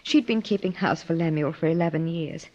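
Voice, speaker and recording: An actress playing an earnest, grieving young wife in old-time radio drama style, speaking haltingly with emotion. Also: female voice, sits low, dark tone. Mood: confiding. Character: grieving young wife of a wealthy older man. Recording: dull, lo-fi old radio recording.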